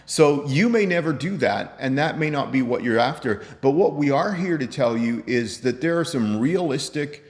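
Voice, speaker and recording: A man's voice: mid-range voice, expressive, normal speed, hardly any pauses. Man in his fifties, very echoey room. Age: 50s. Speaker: host. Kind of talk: casual chat to camera. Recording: echoey room with long reverb.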